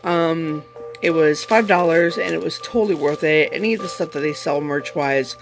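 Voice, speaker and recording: Hoarse, gravelly man chatting casually. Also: on the high side, moderate pace, over music. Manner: rambling, relaxed, with many fillers. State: slightly hoarse. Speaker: vlog host. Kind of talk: casual vlog chat.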